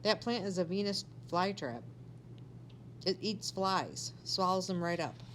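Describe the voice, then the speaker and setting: A woman's voice: on the low side, expressive, measured pace, fairly bright tone, slight background noise. Sensible, matter-of-fact mother read by a woman.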